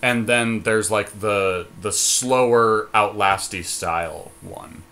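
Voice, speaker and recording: A man's voice: fairly low pitch, slow pace, sharp tone. A man co-hosting a podcast, chatting casually and in an easygoing, joking way over a card game draft. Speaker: podcast co-host.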